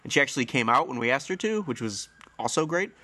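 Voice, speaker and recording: A man's voice: mid-range voice, expressive, quick pace, bright, forceful tone. Congested, nasal man hosting a podcast. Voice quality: slightly hoarse. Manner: rambling, friendly storytelling with fillers. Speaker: podcast host. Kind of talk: casual solo podcast chat.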